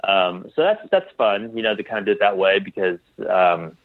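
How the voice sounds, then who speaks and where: Male podcast guest, lowish voice, casual and halting, restarts often, heard through a narrow phone-like connection. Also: lively intonation, no echo. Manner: halting, tentative, trails off and restarts. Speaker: interview guest, clothing designer. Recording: tinny phone-like line.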